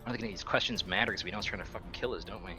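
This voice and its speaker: A man's voice: lowish voice, rapid-fire. A man playing a tabletop roleplaying game, chatting casually in a blunt, sweary way. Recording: faint music behind the voice.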